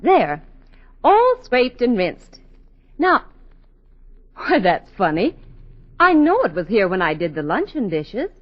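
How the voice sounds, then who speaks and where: A woman's voice: mid-range voice, wildly swooping pitch, slow pace, long pauses, exaggerated voice. An actress playing a busy, mildly fussing housewife and mother in a 1940s radio advert. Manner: muttering to herself, calling out. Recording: dull 1940s radio recording.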